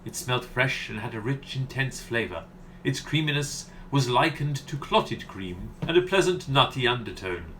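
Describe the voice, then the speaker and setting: A man playing a pompous gentleman aesthete in a radio comedy. He declaims floridly and fussily, swept up in romance, with animated intonation and faint noise behind the voice. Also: medium pitch, moderate pace, poor audio. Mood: dreamy rapture. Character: pompous, flowery, romantic gentleman customer, fussy poetry lover. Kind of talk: comedy radio play acting.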